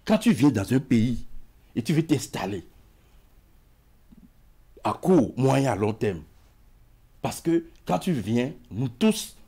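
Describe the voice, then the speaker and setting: A middle-aged man with an African French accent, a guest on a talk show, speaking haltingly and repeating words as he searches for them. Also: mid-range voice, very animated, warm tone, husky.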